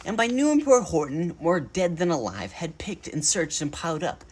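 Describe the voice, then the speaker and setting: A man reading a children's storybook aloud in an animated narration style, as a bedtime story livestreamer. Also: a little high, normal speed, breathy edge.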